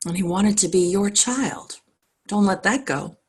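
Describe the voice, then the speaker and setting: A woman playing a cultured, wealthy society wife in an intense, reflective stage monologue, speaking emphatically with deliberate pauses. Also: medium pitch, breathy edge. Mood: earnest, wounded. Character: cultured wealthy New York society wife, anguished, impassioned. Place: online video call.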